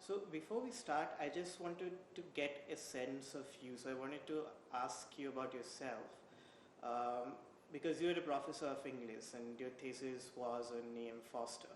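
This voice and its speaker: A male host introduces his guest and asks questions, eager and a little halting. His voice rings through a very echoey room. A faint rumble sits underneath. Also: steady tone. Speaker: interviewer, host.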